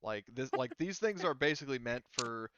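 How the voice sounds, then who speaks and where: A man's voice: medium pitch, animated, moderate pace, a bit bright, lo-fi sound, slight background noise. A man improvising narration as game master in a casual, rambling, hesitant way.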